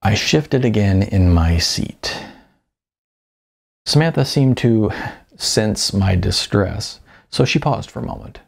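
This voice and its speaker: A man reading his own first-person novel aloud as an expressive, wry, conversational narrator. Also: lowish voice, slow pace, gravelly, pauses often. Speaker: author narrating own audiobook.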